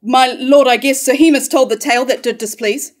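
A woman's voice: high-pitched, fairly even intonation, fairly quick, lightly acted. A woman playing a deferential royal servant in a staged verse tragedy, speaking in a formal manner. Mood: deferential, uneasy. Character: servant messenger informing the king, deferential.

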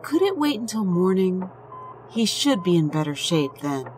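A woman playing a calm, matter-of-fact hospital doctor in an audio drama, with a measured clinical manner. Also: on the low side, faint music behind. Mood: puzzled, clinical. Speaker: voice actor.

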